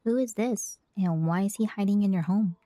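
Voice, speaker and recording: A woman's voice: mid-range voice, moderate pace, dark tone, dry recording. A woman game streamer giving casual reactions as she plays.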